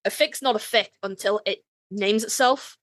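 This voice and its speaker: A woman's voice: medium pitch, normal speed, a bit bright, dry recording. A woman co-hosting a podcast in casual, candid chat, wry and sweary, acting out little dialogues with herself. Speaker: podcast co-host.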